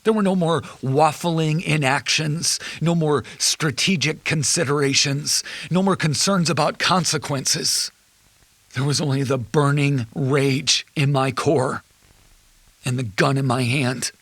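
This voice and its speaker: A man playing a distraught, vengeful husband, giving a brooding, deliberate first-person confession in a gravelly voice for an audio drama. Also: a little high, a bit bright, no echo. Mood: seething, grim. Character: distraught, vengeful husband recounting his nightmare on tape.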